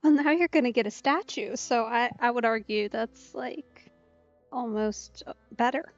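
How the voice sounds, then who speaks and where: A woman's voice: a little high, measured pace, dry recording, muffled recording, faint music behind. A woman gaming streamer giving casual, chatty, reflective let's play commentary.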